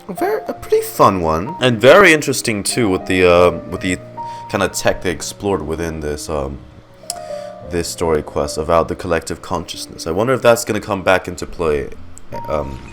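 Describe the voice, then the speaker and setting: A man commentates casually on a video game, thinking aloud in a rambling, halting way. His voice is fairly low but moves around a lot in pitch, and game music plays clearly in the background.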